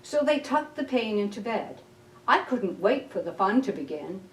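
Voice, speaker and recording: A woman reading a children's picture book aloud as a library storyteller, with expressive, deliberate delivery. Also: mid-range voice, a little hiss or hum. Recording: roomy echo, distant mic.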